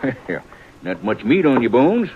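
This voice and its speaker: A gruff, bossy ranch owner from a vintage radio western. His voice swoops high when he hollers and drops low for a folksy chuckle. The old recording sounds muffled. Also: sing-song, unhurried, very noisy. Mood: gruff welcome. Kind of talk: 1950s radio drama acting.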